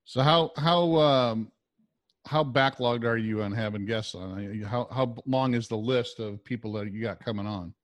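A man's voice: mid-range voice, unhurried, no echo. Man interviewing casually, dry close sound. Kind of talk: casual chat.

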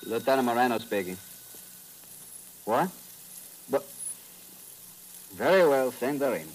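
An actor playing a courteous, businesslike Cuban police lieutenant, measured and formal, in a 1950s radio drama. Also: male voice, medium pitch, lively intonation, rough recording. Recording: old radio recording with faint hiss.

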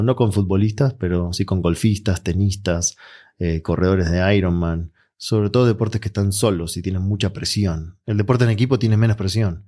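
Deep, mellow Argentine man. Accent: Argentine Spanish. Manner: relaxed, reflective, with frequent fillers. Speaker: guest, mental coach. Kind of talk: interview answers.

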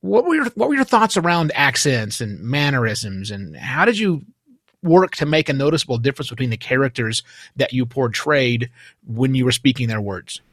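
A man hosts a podcast interview, casual and emphatic. His pitch swings freely and the sound is close and dry. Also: very animated, moderate pace, fairly bright tone, no echo. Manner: emphatic, conversational.

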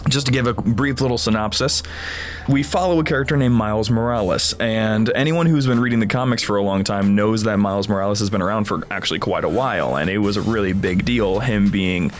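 A man's voice: fairly low pitch, a little fast. A man hosting a podcast, chatting informally and with amusement through a casual film recap. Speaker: podcast host.

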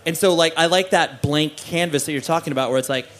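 A man's voice: on the high side, fast, fairly bright tone, a little hiss or hum. A man hosting an interview, asking questions in a meandering way with many "uh"s and restarts. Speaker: podcast host. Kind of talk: casual interview chat.

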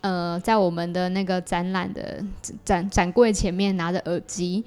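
A woman's voice: on the low side, level tone, moderate pace, a little mellow, clean tone. Chatty Taiwanese Mandarin-speaking woman. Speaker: podcast guest, creator of an audio theatre work. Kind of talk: casual interview chat.

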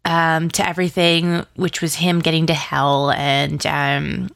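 A woman's voice: sits low, flat delivery, a little slow, vocal fry, a bit bright, no echo, studio quality. A woman speaking as an interview guest in casual, informal conversation, with slangy phrasing full of "like". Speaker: interview guest, audio drama writer.

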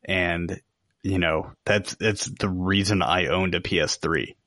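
Low-voiced man, dry close sound. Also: fairly even intonation, unhurried, fairly bright tone, no echo. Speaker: podcast co-host. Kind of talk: casual chat, opinions on games.

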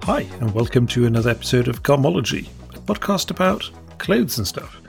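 A man's voice: medium pitch, big pitch swings, fairly quick, vocal fry, faint music behind. A man hosting a podcast, introducing the show and speaking to listeners and a guest in a conversational way. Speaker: podcast host.

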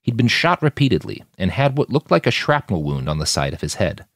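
Low-voiced, expressive male audiobook narrator. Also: a little fast, dry recording, clean studio sound. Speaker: author narrator.

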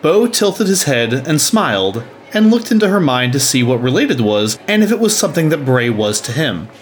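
A man's voice: fairly high pitch, very animated, moderate pace. A man narrating a scripted story.

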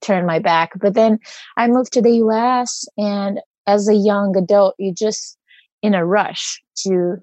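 A woman's voice: medium pitch, steady tone, measured pace, darkish tone, dry recording. A woman with a Brazilian accent, speaking earnestly as an interview guest and searching for her words as she reflects.

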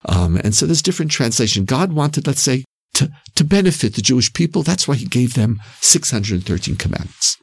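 This is a man with a Canadian accent and a husky, creaky voice that sits on the low side. He explains reflectively in conversation, swinging widely in pitch as he goes. He drives his points home emphatically, often repeating a phrase. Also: big pitch swings, fairly quick, hardly any pauses, no echo, studio quality.

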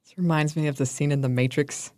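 A woman's voice: sits low, quick pace, no echo. A woman co-hosting a podcast, chatting casually and laughing often. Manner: giggly, animated. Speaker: podcast co-host. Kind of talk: casual chat.

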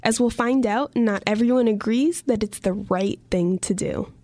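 A woman co-hosting a podcast, reading scripted narration in an even, conversational way. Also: a little high, normal speed, no echo. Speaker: podcast co-host.

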